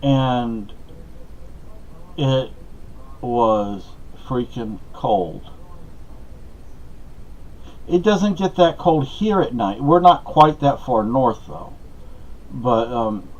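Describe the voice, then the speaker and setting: Middle-aged man rambling casually, slightly dull recording. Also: mid-range voice. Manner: digressive, anecdotal, conversational asides. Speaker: podcast host giving personal updates. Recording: dull lo-fi audio.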